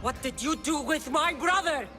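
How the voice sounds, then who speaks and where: A woman's voice: high voice, moderate pace, music in the background, lightly acted. A woman playing a fierce, defiant young mercenary in a video game, blunt and intense. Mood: outraged. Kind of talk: dramatic video game voice acting.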